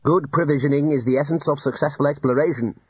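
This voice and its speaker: A man's voice: a little high, fast, husky, no room sound. A man doing a pompous old wizard dean. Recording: dull lo-fi audiobook recording.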